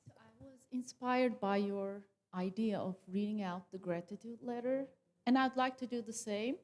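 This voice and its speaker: A woman's voice: medium pitch, normal speed, darkish tone. A woman from Turkey, reading a gratitude letter aloud in an earnest, formal way at a public farewell event. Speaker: audience member. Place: event hall. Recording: long hall reverb.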